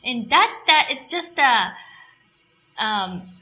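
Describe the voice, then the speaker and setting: A woman's voice: high-pitched, big pitch swings, slow pace, sharp tone. A woman co-hosting a language lesson, patiently explaining words and repeating them to teach.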